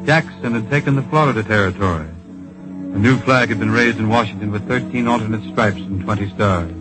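An actor playing a solemn, compassionate narrator, grave and measured, in a scripted old-time radio drama. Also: male voice, fairly low pitch, close to an everyday voice, rough recording. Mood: stirring, patriotic. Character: solemn storyteller, compassionate and patriotic.

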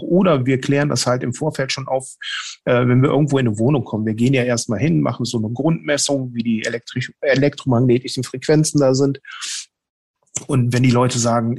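Husky-voiced man explaining casually. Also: mid-range voice. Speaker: guest, paranormal investigator.

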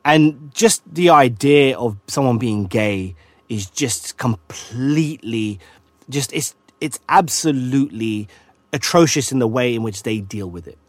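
A man with a middle-range voice chats casually with his co-host. He is agreeable and often chimes in with quick yeahs. The sound is dry and close. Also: mid-range voice, no echo. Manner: agreeable, frequent yeahs and backchannels. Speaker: podcast co-host. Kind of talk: casual chat.

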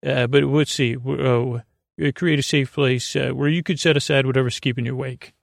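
Creaky, rambling man soothing listeners toward sleep. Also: mid-range voice, steady tone, a little fast, no echo, clean studio sound. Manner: meandering, hesitant, goofy, self-interrupting. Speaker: podcast host. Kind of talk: rambling, soothing bedtime monologue.

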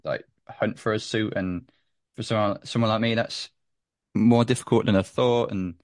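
A young man chatting casually as a podcast guest, halting and restarting his sentences often. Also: fairly low pitch, steady tone, no room sound, studio quality. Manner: halting, with many restarts and repeated words. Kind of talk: casual chat.

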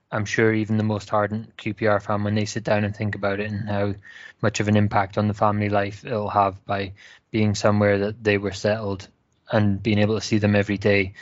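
A man from Wales, speaking with a Scottish accent, answers as a podcast guest in an earnest, thoughtful way. His voice sits low and stays fairly flat, with many small restarts. The recording is dry and a little muffled. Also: no room sound. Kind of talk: casual podcast chat.

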